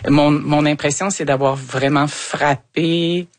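A woman in her sixties with a Quebec French accent, calling into a radio show and talking slowly through her thoughts with many fillers and restarts. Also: low voice, fairly even intonation, no echo. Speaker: radio caller. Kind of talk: reflective radio conversation.

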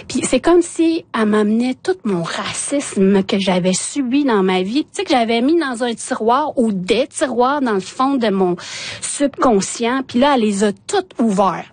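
A woman in her forties with a Quebec French accent, her first language Innu, recounting her path into journalism with feeling. Her voice sits a little high and comes across dry and close. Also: moderate pace, no echo. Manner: emotional, animated storyteller. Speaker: journalist guest. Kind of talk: personal storytelling in conversation.

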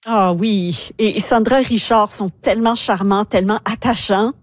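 A woman hosting a radio interview, friendly, asking clear questions. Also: mid-range voice, steady tone, normal speed, no room sound, studio quality. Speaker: radio host.

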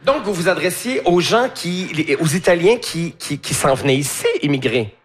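Lively-pitched Quebec woman host. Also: moderate pace, slight background noise. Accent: Quebec French. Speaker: interview host. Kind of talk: casual, teasing interview chat.

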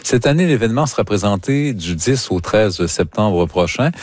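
Radio presenter, male, Quebec accent, low pitch, dry studio sound, arts news delivery. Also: animated, moderate pace, dry recording. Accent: Quebec French. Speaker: radio host.

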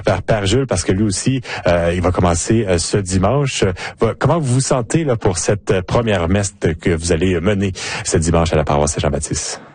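A man's voice: deep voice, moderate pace, darkish tone, slightly rough, no echo. A man, a radio reporter interviewing guests in a conversational way. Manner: conversational, frequent short acknowledgements.

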